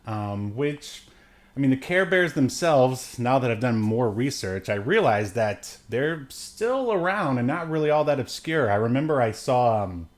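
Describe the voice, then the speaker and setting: A man in his late thirties with an average-pitched voice talks as a podcast co-host. He reviews films casually, wandering through halting sentences and trailing off into asides. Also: animated. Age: late 30s. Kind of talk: casual film review chat.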